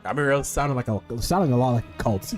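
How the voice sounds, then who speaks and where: A man's voice: mid-range voice, animated, quick pace, over music. A young man giving casual gaming commentary, joking and slangy, sometimes reading game text aloud. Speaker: game streamer.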